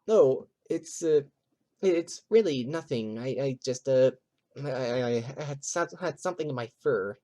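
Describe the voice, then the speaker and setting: A man's voice: mid-range voice, animated, a little slow, warm tone, lightly acted. A man playing a nervous, easily flustered animal witness who stammers and hesitates in an audio drama. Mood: nervous, startled.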